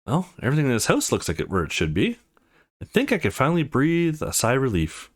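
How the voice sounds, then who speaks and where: A self-assured young visual novel hero, read aloud by a man, musing and talking in a casual way. The pitch sits in the middle and moves with very lively swings. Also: quick pace. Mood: relieved, musing. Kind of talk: reading game narration and dialogue.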